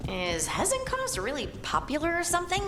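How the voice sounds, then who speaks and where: A woman's voice: high-pitched, wildly swooping pitch, fairly quick, noisy background. A woman playing a blunt, curious young female adventurer companion in a video game.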